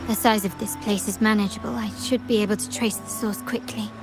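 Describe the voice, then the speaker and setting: An actress voicing a composed, inquisitive young woman investigator in a video game, musing to herself in a measured way. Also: medium pitch, fairly even intonation, natural voice. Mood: alert, focused. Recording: echoey voice under loud music. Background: loud game music.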